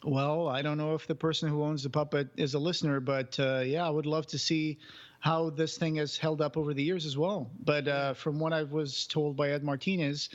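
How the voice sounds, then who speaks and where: A man's voice: fairly high pitch, flat delivery, moderate pace, dull audio. A man co-hosting a podcast in casual chat, rambling with many restarts and fillers. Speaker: podcast co-host.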